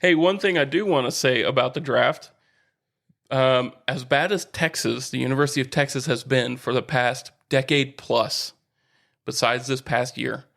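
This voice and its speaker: A man's voice: mid-range voice, normal speed, gravelly, a bit bright. American man chatting casually about sports. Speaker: sports podcast co-host.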